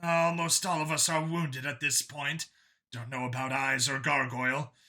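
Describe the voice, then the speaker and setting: A man voicing a brisk female squad leader giving clipped military reports over comms in an audio story. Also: medium pitch, flat delivery, sharp tone, close to an everyday voice. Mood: strained, concerned. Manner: clipped military radio talk.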